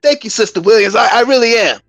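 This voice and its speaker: An American man of about fifty delivers a comedic testimony in a theatrical preacher style. His voice has plenty of gravel and fry. It sounds dry, with music underneath. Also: very high voice, lively intonation, quick pace, fairly bright tone, no room sound. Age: around 50. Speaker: YouTube live stream host. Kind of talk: comedic mock church testimony.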